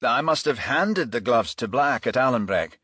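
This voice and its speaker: A man voicing a bitter, evasive murder suspect in an audiobook, halting and defensive as he justifies himself. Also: mid-range voice, animated, a bit bright, husky, no room sound, clean studio sound, voice pushed up. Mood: rattled, defensive.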